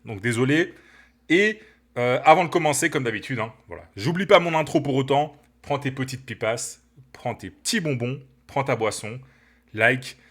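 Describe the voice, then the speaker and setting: A man with a cold hosting a football channel, talking to viewers in an animated, opinionated, casual way from his living room. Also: mid-range voice. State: has a cold. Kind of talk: casual opinion commentary to camera.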